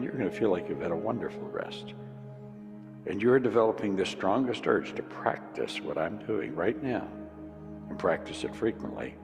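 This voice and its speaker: A man with a low, gravelly voice leads a guided hypnosis in slow, repeated commands. His voice rings out with a long reverb. Clear music plays underneath. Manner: slow, repetitive soothing commands.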